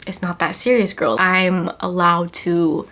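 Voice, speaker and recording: A woman's voice: on the low side, little pitch movement, measured pace, pushed and bright. A young woman in her twenties with a congested cold voice, chatting casually to camera as a perfume reviewer, emphatic and repeating words for stress. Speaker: perfume reviewer, channel host. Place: small home room, indoors.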